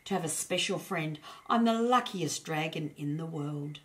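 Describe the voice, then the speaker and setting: Woman as a timid young male dragon, storybook character, soft-hearted, earnest, polite, lowish voice. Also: lively intonation, normal speed. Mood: content.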